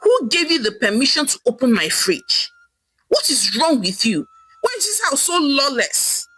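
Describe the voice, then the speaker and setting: Woman playing a blustering Nigerian father, high-pitched and forceful. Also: big pitch swings, normal speed, heavily acted, low-quality recording. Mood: angry. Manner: demanding, incredulous questioning. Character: stingy, indignant Nigerian father and chief, blustering man.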